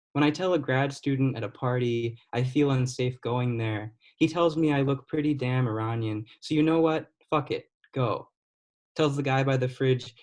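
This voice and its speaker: Young guy reading poems over a video call, steady and deliberate, mid pitched, trails off quiet at line ends. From United States. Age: 20s. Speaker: poet. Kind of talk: poetry reading.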